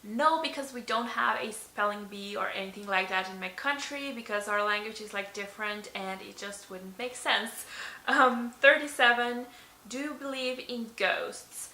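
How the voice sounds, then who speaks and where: Young woman, Slovene native speaker, bright voice, chatty, lots of ums, echoey bedroom. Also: on the high side, normal speed. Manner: chatty, many ums and likes, laughs often. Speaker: beauty vlogger. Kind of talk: casual tag video chat. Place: bedroom, indoors.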